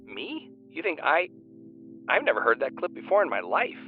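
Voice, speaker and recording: A man's voice: fairly high pitch, wildly swooping pitch, normal speed, soft background music. A man playing a nervy, indignant superfan and fan fiction writer on a phone call in an audio drama. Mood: defensive. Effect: phone line filter. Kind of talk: naturalistic audio drama dialogue. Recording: tinny narrow phone line.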